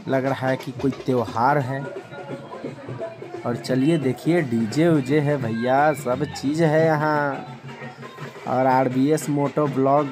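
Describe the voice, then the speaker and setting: A man hosting a street vlog, excitedly showing viewers an outdoor victory rally from a camera he carries. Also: mid-range voice. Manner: excited, hyping the crowd to viewers. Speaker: vlogger. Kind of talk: live street vlog commentary. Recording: loud DJ music behind the voice.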